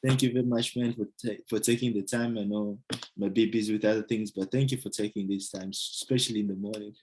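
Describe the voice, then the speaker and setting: A man's voice: lowish voice, steady tone, fast, darkish tone, slight background noise, poor audio. A male interviewer who thanks his guest and asks loose, friendly follow-up questions. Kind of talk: casual interview chat.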